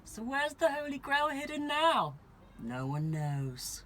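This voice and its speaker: A man's voice: very high-pitched, very animated, takes it slowly, fairly bright tone, slight background noise. A man telling stories as a travel vlogger and presenter, with a theatrical delivery, recorded on location. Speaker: storyteller and presenter. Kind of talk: storytelling travel vlog, part narration, part talk on location. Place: outdoors in the countryside.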